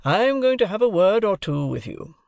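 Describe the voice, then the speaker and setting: A man's voice: sits high, sing-song, fairly quick, gravelly, a little mellow, dry recording, voice pushed up, full character voice. A man voicing a brusque, domineering middle-aged lawyer for an audiobook, with an emphatic, cross-examining manner. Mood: brisk, commanding. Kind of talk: audiobook character dialogue.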